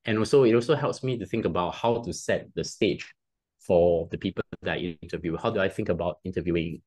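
A man's voice: low-pitched, fairly quick, a little mellow, slightly rough. A man in his thirties with a Singaporean accent, a podcast guest explaining at length on a video call with a headset, often restarting and adding "right?". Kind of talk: casual interview talk. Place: home room, video call.

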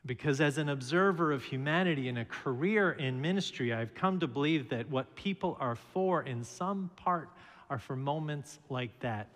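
A middle-aged man, a minister, preaching a sermon from the pulpit, telling stories in a slow and measured way. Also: on the high side, expressive, fairly bright tone. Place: large church sanctuary, at a pulpit. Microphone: pulpit microphone. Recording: reverberant church hall.

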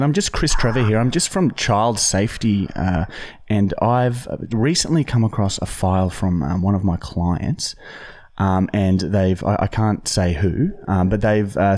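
An Australian man in his thirties, a podcast host talking in a matey, sweary, halting way as he makes a prank call. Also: fairly low pitch, a little mellow. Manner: sweary, matey, hesitant phrasing. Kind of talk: prank call, casual official patter. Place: indoor podcast studio. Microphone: boom-arm studio microphone with headphones.

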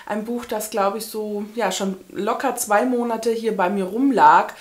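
A woman's voice: medium pitch, steady tone, measured pace. A woman in her thirties chatting casually and informally to camera as a book vlogger. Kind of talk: casual chat to camera about books. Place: small indoor room with bookshelves.

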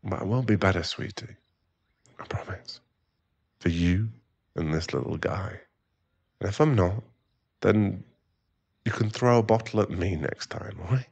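A man playing a remorseful, tender boyfriend and expectant father in an intimate ASMR roleplay, speaking softly in halting, stammered phrases. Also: deep voice, creaky, breathy edge, no echo, full character voice. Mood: remorseful, earnest. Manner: halting, stammering, soft-spoken apology.

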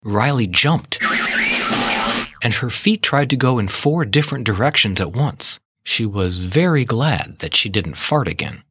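A man reading scripted children's storybook narration in a measured, expressive way. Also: medium pitch, slight background noise.

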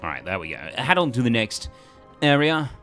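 A man's voice: mid-range voice, sing-song, brisk, a bit bright, soft background music. A man casually thinking aloud as he commentates a video game. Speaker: let's play host.

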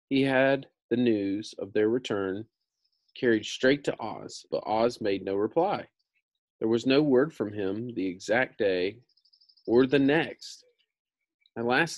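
A man's voice: mid-range voice, darkish tone, no room sound. An American man in his thirties reading a book aloud in an informal, slangy way with casual asides. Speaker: read-aloud storyteller.

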